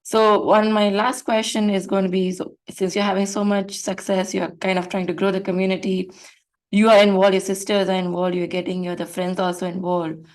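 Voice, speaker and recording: A woman's voice: medium pitch, level tone, normal speed, rough recording. A woman hosts an interview in an ordinary mid-range voice. She keeps her guest going with brief, encouraging replies and friendly questions. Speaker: show host, interviewer. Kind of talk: conversational interview.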